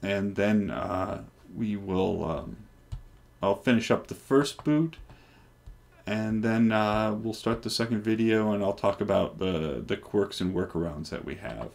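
A man in his forties on a headset mic, casually talking through a software install with many ums and restarts. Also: fairly low pitch, unhurried, creaky. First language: English. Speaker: developer presenting hardware. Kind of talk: casual screen-recorded tech demo. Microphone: headset microphone.

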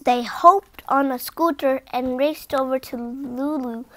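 A young girl reading a storybook aloud with careful, expressive emphasis, a few stumbles and her own asides. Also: sits high, takes it slowly. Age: child.

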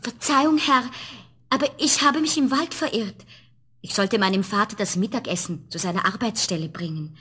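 An actress playing a polite, plucky young girl, a woodcutter's daughter, in a fairy-tale radio play, with a breathy voice. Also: mid-range voice, moderate pace, fairly bright tone. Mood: apologetic.

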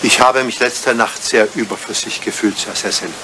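An older man acting a devoted, dignified butler in a radio play, speaking with formal deference and quiet sorrow. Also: on the low side, fairly even intonation, moderate pace, close to an everyday voice. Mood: resigned. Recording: noisy old radio play recording.

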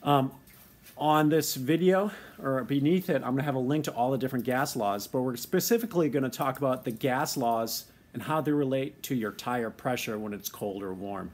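A young man, a science teacher, explaining car maintenance to his students in a relaxed, chatty way with plenty of ums, filmed on a phone in his home garage. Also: medium pitch, normal speed. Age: young adult. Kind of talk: casual how-to lesson video. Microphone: phone camera held close.

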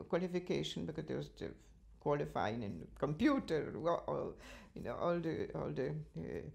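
An older woman from Poland with a Polish accent, chatting about her volunteer work in an interview, laughing often and speaking in halting, non-native sentences. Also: lowish voice, creaky, darkish tone. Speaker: interviewee, cultural association chair. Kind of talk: reminiscing interview answers. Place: living room at home, indoors.

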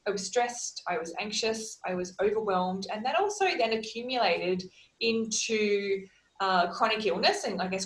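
A woman in her thirties, a holistic wellness practitioner, telling her story in earnest, steady lists on a video call from a small room at home. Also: medium pitch, reverberant, poor audio. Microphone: video call, laptop camera.

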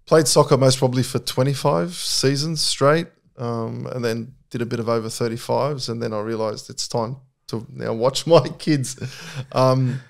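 A middle-aged man talks as a guest in a casual podcast conversation. His pitch sits in an ordinary middle range. He speaks candidly and reflectively, often restarting his sentences. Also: steady tone, moderate pace, gravelly.

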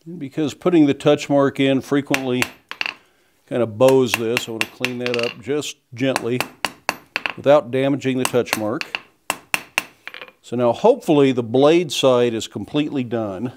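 A middle-aged blacksmith explaining step by step in a plain, unhurried way as he demonstrates forging in a small workshop. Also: medium pitch, very noisy. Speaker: blacksmith instructor. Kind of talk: hands-on demonstration. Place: small workshop forge, indoors.

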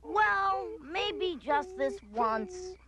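A woman voicing a whiny, excitable little wolf pup in a cartoon. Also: very high-pitched, extremely slow, exaggerated voice. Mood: relenting. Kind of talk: cartoon voice acting.

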